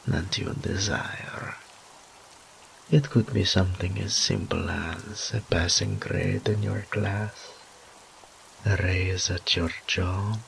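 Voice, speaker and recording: A man voicing a seductive, arrogant incubus demon in an intimate roleplay audio drama, breathy and often whispering, with teasing pauses. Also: low voice, fairly bright tone, slight background noise, heavily acted, rough recording. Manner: slow, teasing, drawn-out phrases with pauses.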